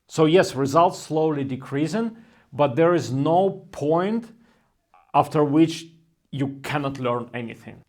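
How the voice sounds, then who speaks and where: A man in his thirties, hosting a language-learning channel, talking through research in a steady, thoughtful way with non-native phrasing. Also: medium pitch. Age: 30s. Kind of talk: explainer talk to camera.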